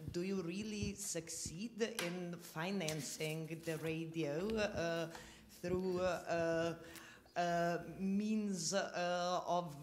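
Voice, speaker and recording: A man in a conference audience, asking a question hesitantly, with many pauses and fillers. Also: on the high side, slight background noise. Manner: hesitant, full of long pauses. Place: conference hall.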